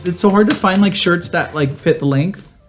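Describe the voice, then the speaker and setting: A young adult podcast co-host telling stories in casual, comedic chat, animated, sassy and full of slang. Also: mid-range voice, moderate pace, faint music behind.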